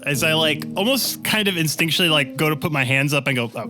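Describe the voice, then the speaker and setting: A man's voice: a little high, fast. A man chatting casually as a player in a tabletop game, describing what his character does. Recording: music under the voice.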